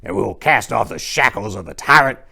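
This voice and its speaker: A fiery old man of the American South, raspy and raised in pitch. He rants and preaches with loud force, an aged bluesman from a crime story. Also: lively intonation, a little fast, full character voice. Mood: fervent, preachy. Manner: ranting, scripture-quoting, forceful.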